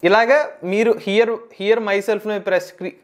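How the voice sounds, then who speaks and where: A man hosts a tech tutorial, explaining software step by step and repeating key phrases. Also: high-pitched, steady tone, a little slow.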